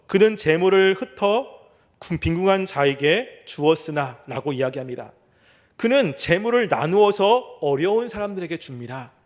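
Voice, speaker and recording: A middle-aged male pastor giving a sermon. He asks rhetorical questions and reads scripture slowly and deliberately. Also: sits high. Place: church sanctuary.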